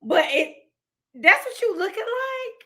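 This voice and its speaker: Bright-voiced excitable woman host in her thirties. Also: very high voice, measured pace. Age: 30s. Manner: excitable, laughing, chatty with slang. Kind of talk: casual chat show hosting.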